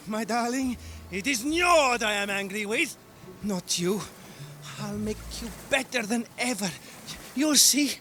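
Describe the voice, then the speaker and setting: High, bright-voiced grumbling seafarer. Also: male voice, a little hiss or hum, heavily acted. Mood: apologetic, tender. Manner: theatrical, aggrieved. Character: excitable, grumbling seafarer devoted to sea god. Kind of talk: cinematic game dialogue.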